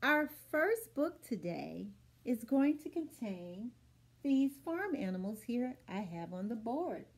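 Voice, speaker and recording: A middle-aged woman hosting a children's storytime, speaking expressively and deliberately for young listeners. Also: fairly high pitch, very animated, breathy edge. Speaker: children's librarian, storytime host. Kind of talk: children's storytime, read-aloud and instruction. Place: small indoor room.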